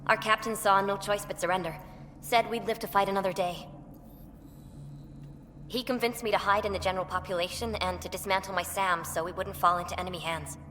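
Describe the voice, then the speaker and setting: A woman's voice: medium pitch, fairly even intonation, a little fast, a bit bright, lightly acted. A woman voicing a proud, troubled female alien leader in a video game. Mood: bitter, troubled. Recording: reverberant hall with faint noise.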